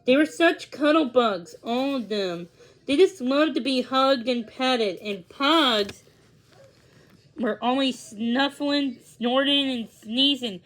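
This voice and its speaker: Female storybook reader, high-pitched voice, narrating for children with slightly halting delivery.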